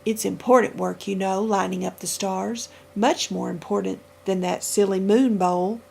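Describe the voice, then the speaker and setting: A cranky old man of the moon, puffed up with his own importance and grumbling at everything, as a woman reading a storybook puts him on. The voice is crackly and gravelly, with a husky edge. He huffs and blusters, then sinks into low mutters. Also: steady tone, normal speed, mellow. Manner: grumbling, pompous and huffy.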